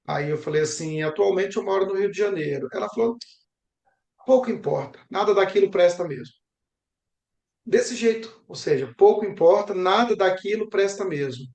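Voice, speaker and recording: Higher-voiced Brazilian man with a creaky edge. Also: normal speed, darkish tone. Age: young adult. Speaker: geography professor interviewed about travel. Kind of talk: conversational interview answers, storytelling. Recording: roomy, echoing sound.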